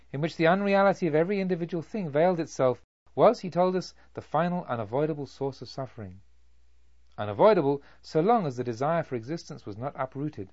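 A man's voice: a little high, darkish tone, no echo. Guy narrating an old literary book, solemn careful phrasing, dry sound, bit muffled lo-fi recording. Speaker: audiobook narrator. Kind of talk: measured literary audiobook narration.

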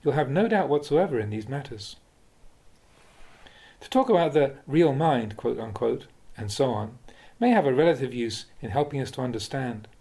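A man, a Buddhist monk, reading spiritual teachings aloud in a measured, deliberate, instructive way, like an audiobook of a talk. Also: medium pitch, lively intonation, creaky, dark tone. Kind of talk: audiobook-style reading of a dharma talk with questions and answers.

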